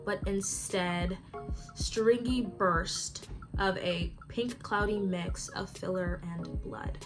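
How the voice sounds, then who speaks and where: Woman doing commentary voice-over, mid pitch, wry, music playing under. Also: fairly even intonation, takes it slowly. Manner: wry, opinionated. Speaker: commentary youtuber. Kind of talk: scripted commentary narration.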